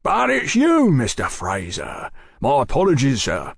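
A man voicing a fat, gruff pub proprietor who blusters loudly, in a storybook reading. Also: mid-range voice, lively intonation, unhurried, no room sound, clean studio sound. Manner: loud, blustering.

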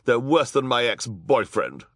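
A man's voice: mid-range voice, big pitch swings, measured pace, a bit bright, no room sound, studio quality, pitched above the performer's own voice, exaggerated voice. A man as a snappish, spiteful villainess. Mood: scornful. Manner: snapping, scornful.

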